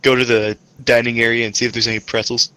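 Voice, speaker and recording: A man with a very bright, sharp voice, recorded close and dry, plays a tabletop game. His chat is casual and playful, full of quips. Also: medium pitch, level tone, fast, no echo, poor audio. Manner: playful, quippy.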